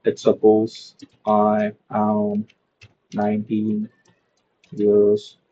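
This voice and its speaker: A man's voice: on the low side, completely flat delivery, very slow, dark tone, highs cut off. A young man giving a casual screen-share coding lesson, padding his talk with "okay" and "so". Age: young adult. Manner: loose, repeats "okay" and "so" a lot. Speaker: programming tutor.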